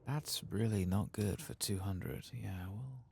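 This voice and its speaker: A man giving soft-spoken, often whispered ASMR game commentary in a gravelly, musing mutter. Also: lowish voice, slow pace. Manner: musing, muttering understated reactions. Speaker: ASMR streamer playing a video game.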